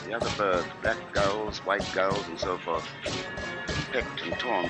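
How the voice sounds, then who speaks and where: An actor playing a grave, resolute father, narrating in measured, formal 1950s radio drama style. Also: lowish voice, lightly acted. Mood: grave, tense. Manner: measured, formal, literary delivery. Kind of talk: 1950s radio drama acting, scripted first-person narration. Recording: old 1950s radio broadcast, music behind.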